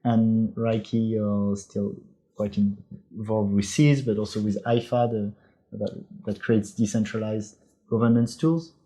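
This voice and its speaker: A man hosting a podcast interview, talking in a relaxed, halting way with frequent restarts. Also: fairly low pitch, rough recording. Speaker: podcast host.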